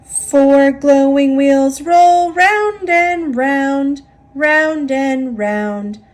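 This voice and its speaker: A woman with an American accent reading a children's story aloud in an expressive, deliberate storytelling manner. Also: high-pitched. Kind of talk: read-aloud children's story narration, partly sing-song.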